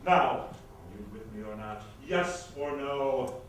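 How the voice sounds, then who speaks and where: A man's voice: mid-range voice, expressive, slow pace. A man playing a pompous, scheming theatre producer in a screwball comedy reading, grandiose and theatrical in manner. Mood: melodramatic, cajoling. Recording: very echoey hall, far microphone, noise.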